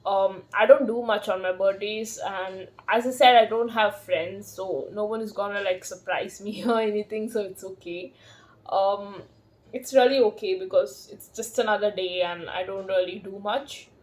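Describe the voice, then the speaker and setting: A woman in her thirties chatting casually to camera at home, slow and rambling, with many fillers and soft laughs. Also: medium pitch, steady tone, poor audio. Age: 30s. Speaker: vlogger.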